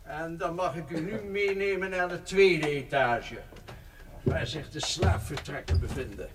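An actor playing a deferential, courteous clerk of a notary in a historical radio drama, formal and obliging. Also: male voice, a little high, a little slow, in a large hall, noisy background, lightly acted. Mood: polite, formal. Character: deferential, polite notary's clerk showing a house.

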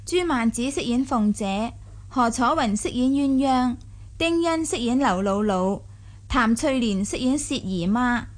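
A woman's voice: fairly high pitch, animated, measured pace. A woman with a Hong Kong Cantonese accent reading formal, scripted radio drama credits as announcer.

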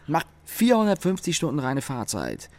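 Gravelly, sardonic office worker. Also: male voice, on the high side, wildly swooping pitch, moderate pace, a little mellow, no echo. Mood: aggrieved. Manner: dry, sardonic, enumerating grievances. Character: disgruntled office engineer, cynical, sardonic narrator. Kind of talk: German radio play dialogue and monologue.

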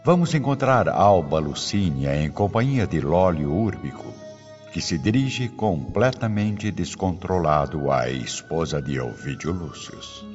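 A man's voice: deep voice, expressive, measured pace, darkish tone. A man reading scripted dramatic narration for a radio drama. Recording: reverberant, dull, music behind.

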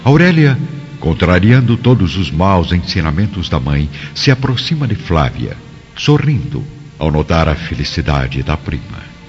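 A man's voice: deep voice, big pitch swings, unhurried. A man giving dramatic, scripted narration for a radio drama. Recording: muffled sound, clear music behind.

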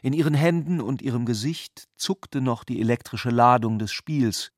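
A man's voice: mid-range voice, moderate pace, no echo, clean studio sound. A man reading scripted literary prose as the narrator of a radio adaptation.

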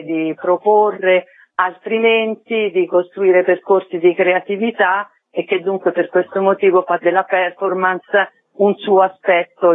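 A woman's voice: fairly low pitch, no room sound. A woman speaking as an interview guest in formal, winding phrases, over narrow telephone-like sound. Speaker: interviewed guest, event organiser. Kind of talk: discursive radio interview talk.

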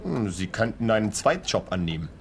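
A man's voice: fairly low pitch, big pitch swings, fairly quick, a little hiss or hum. An actor playing an earnest, worried man who is a detective's client in a radio drama, speaking a little hesitantly. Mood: earnest, bargaining. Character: earnest, worried male client, frugal ordinary working man.

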